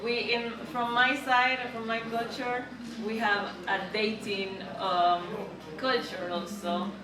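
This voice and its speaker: A woman's voice: fairly high pitch, flat delivery, takes it slowly. A woman from Argentina with an Argentine accent, a native Spanish speaker, giving casual answers as an interview guest. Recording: echoey, distant mic, background chatter.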